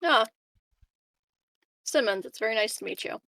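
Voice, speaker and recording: A woman's voice: a little high, animated, moderate pace, sharp tone, dry recording. A woman playing a tabletop roleplaying game, chatting casually and tentatively in character. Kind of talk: casual chat, speaking in character.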